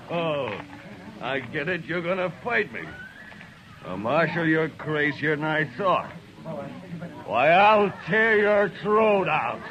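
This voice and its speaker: A man's voice: a little high, expressive, slow, long pauses, lo-fi sound, crowd noise. A man acting a big, brutish western outlaw in a radio play, taunting and menacing as he draws out his threats. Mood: gloating menace. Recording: noisy old radio recording.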